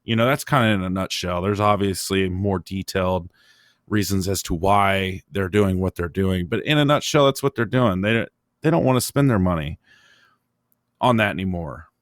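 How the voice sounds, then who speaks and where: A man with a fairly low voice hosts a casual motorsport podcast. He thinks aloud in a halting, opinionated way. The sound is very dry, with no room around him. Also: a bit bright, no room sound. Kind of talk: casual racing discussion, opinionated.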